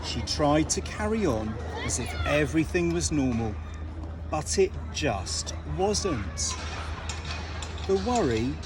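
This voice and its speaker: Slow, animated male storybook reader. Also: a little high, noisy background. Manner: expressive, deliberate storytelling with dramatic pauses. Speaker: teacher reading a storybook aloud. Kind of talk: children's storybook narration.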